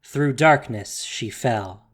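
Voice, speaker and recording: A man narrating a dramatic fantasy story solemnly, with deliberate pauses, over a music bed. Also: mid-range voice.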